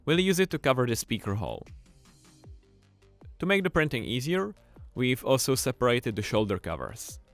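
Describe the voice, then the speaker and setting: Lively male tutorial presenter over music. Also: mid-range voice, normal speed. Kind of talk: scripted tutorial narration.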